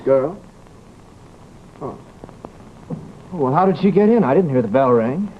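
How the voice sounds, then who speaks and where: A man's voice: medium pitch, expressive, measured pace, slight background noise, natural voice. Man acting a pompous, brusque radio-drama scientist, muffled recording. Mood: distracted. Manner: pompous, formal, curt. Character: brusque, sceptical male scientist, fussy and pompous.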